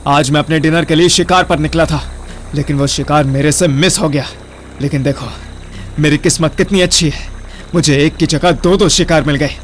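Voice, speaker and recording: A man's voice: on the high side, slight background noise, lightly acted. A man playing a gloating, sadistic cannibal killer in a horror-comedy audio drama, with a menacing, taunting manner. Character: gloating sadistic male cannibal killer savouring his hunt.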